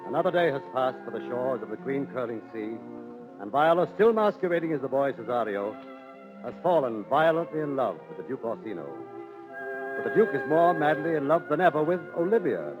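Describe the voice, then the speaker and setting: A man's voice: medium pitch, expressive, brisk. A man narrating a 1930s radio drama in a formal storytelling style. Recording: tinny 1930s radio, music behind.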